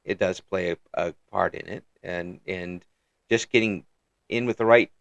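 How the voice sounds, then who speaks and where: An older man hosting a casual interview, halting and repeating words as he goes, with frequent chuckles. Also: sits low, vocal fry, no room sound. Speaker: interview host.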